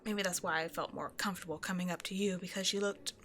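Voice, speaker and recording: A woman's voice: lowish voice, fairly even intonation, quick pace, fairly bright tone, close to an everyday voice. A woman playing a shy, self-doubting young woman who rambles hesitantly in an intimate one-sided roleplay conversation with a stranger. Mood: nervous, chatty. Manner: hesitant, apologetic, nervously overthinking, giggly.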